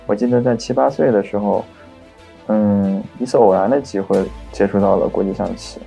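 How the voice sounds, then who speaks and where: A man from China, a chess player calmly reminiscing about his childhood in an interview. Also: on the low side, a little fast, creaky, faint music behind. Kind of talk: documentary interview.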